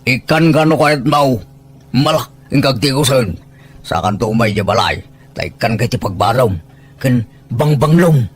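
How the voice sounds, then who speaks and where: A man's voice: medium pitch, lively intonation, moderate pace, husky. An actor playing a teasing, bossy older village man who coaxes like a matchmaker in a rural audio drama. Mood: coaxing.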